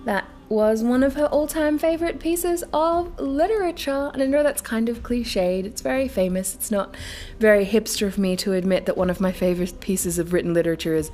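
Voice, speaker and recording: A woman's voice: mid-range voice, a little mellow. A woman hosting a bedtime story series, reading aloud and chatting to her listeners. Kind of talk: dramatic poetry recital and casual chat to viewers.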